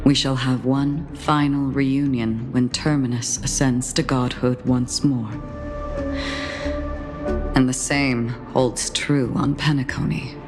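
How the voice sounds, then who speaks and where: Low, slow, solemn swordswoman. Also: fairly even intonation. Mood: solemn, advising. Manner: measured, solemn, philosophical. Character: calm, melancholy woman swordfighter with failing memory. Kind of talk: English video game voice acting. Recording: background music under dialogue.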